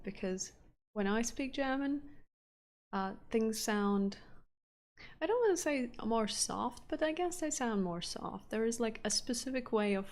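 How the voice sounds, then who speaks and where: A woman chatting casually and rambling with frequent laughs as she paints on a live stream. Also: medium pitch. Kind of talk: casual chat while painting.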